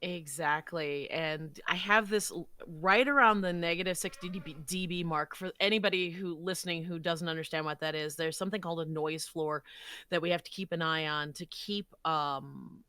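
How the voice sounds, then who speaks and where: A woman speaks as a guest in a casual interview, her voice somewhat low yet bright and crisp-edged. The sound is close and very dry, from a small home booth. She explains things conversationally, like a working voice actor. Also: steady tone, moderate pace, dry recording. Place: walk-in closet used as a home recording booth. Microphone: close studio microphone.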